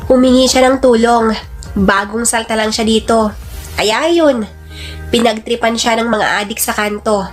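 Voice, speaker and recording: A woman's voice: fairly high pitch, steady tone, normal speed, faint music behind, close to an everyday voice. A woman voicing a kindly, helpful young husband in a radio drama, in an earnest and friendly manner. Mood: explaining, calm.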